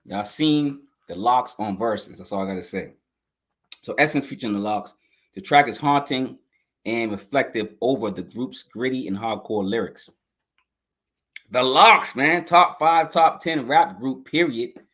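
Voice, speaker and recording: A man's voice: mid-range voice, measured pace, a bit bright. A man hosting a podcast album review in a casual, hyped, slangy way, speaking straight to his viewers, his voice scratchy this morning. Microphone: live stream podcast mic.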